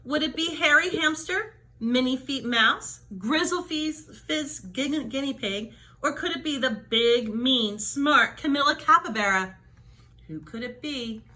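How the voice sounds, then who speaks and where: A woman's voice: high-pitched, expressive, measured pace, pushed and bright. A woman storyteller reading a children's book aloud with dramatic emphasis, stretching out key words.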